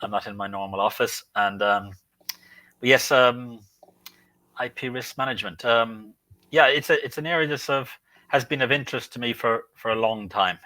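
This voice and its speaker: A man from the UK, a guest speaking from his home, explaining things in a measured way. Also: medium pitch, sharp tone, no echo, poor audio. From United Kingdom. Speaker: guest, IP consultant. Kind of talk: interview answers.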